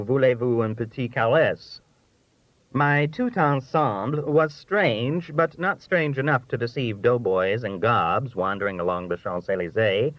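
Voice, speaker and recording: A man narrating a humorous literary essay in a measured, expressive, dryly delivered storytelling style. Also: on the low side, no room sound, dull audio. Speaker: audiobook reader.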